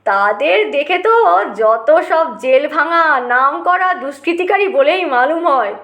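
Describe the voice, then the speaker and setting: A woman's voice: sits high, lively intonation, slow pace, a bit bright, roomy sound, heavily acted. A woman voicing a boastful, touchy uncle who tells tall war tales in a blustering storyteller's way. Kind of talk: acted audiobook storytelling.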